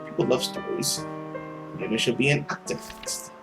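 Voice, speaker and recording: A man's voice: mid-range voice, moderate pace, over loud music, lightly acted. Man voicing a brooding, world-weary immortal demon. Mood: sly musing. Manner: brooding, musing, theatrical diary reading. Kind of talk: visual novel journal monologue.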